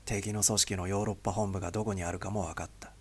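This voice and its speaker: A man voicing a grim, weary spy boss who speaks tersely and heavily in a gravelly, husky voice. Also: sits low, little pitch movement, fast talker, pitched below the performer's own voice. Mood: firm, resolved. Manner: heavy, somber, terse.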